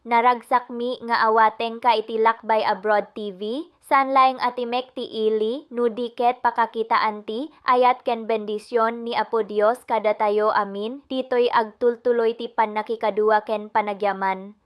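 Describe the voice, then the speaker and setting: Ilocano female announcer, smooth clear voice, slightly high, scripted greeting over light music. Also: fairly even intonation, moderate pace, no room sound.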